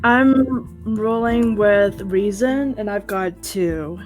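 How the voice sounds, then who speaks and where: A woman's voice: medium pitch, slow pace, darkish tone, soft background music. A woman playing a tabletop game, speaking in casual banter.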